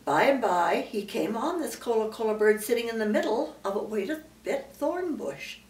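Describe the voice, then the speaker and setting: A woman's voice: a little high, animated, moderate pace, darkish tone. Elderly woman reading aloud in a roomy space. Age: older, great-grandmother. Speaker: storyteller reading to a child. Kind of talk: read-aloud children's storybook narration with asides. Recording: roomy, distant mic, faint background noise.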